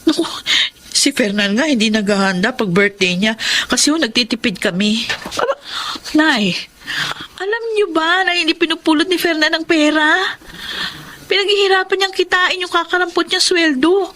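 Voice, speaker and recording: A tired young Filipino mother in a radio melodrama. Her high, clear-cut bright voice is plaintive and respectful, yet firm in defending her household. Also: lively intonation, normal speed, a little hiss or hum. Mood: aggrieved. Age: young adult. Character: weary, protective young Filipina wife and new mother. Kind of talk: Filipino radio drama acting.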